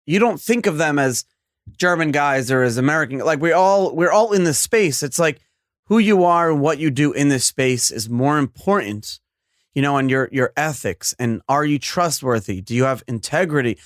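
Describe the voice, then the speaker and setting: Podcast host, young New man, emphatic and repetitive, raised pitch, dry. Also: moderate pace, dry recording. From New York. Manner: earnest, emphatic, rambling with repeated phrases. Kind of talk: casual interview chat.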